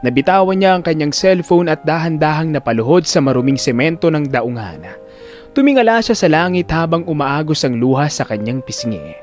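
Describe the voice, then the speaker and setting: A man's voice: a little high, expressive, a little fast, faint music behind. A man narrating a scripted drama story in a dramatic style.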